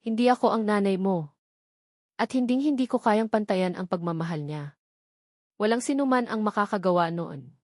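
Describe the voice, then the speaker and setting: Woman voicing a gentle, playful young nanny. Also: mid-range voice, moderate pace, pauses often, no room sound, studio quality, lightly acted. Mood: tender, coaxing. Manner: soft, coaxing.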